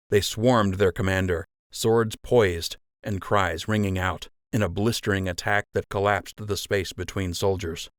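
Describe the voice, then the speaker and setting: A man's voice: low-pitched, no room sound, clean studio sound. A man reading a children's adventure audiobook as scripted storytelling narration.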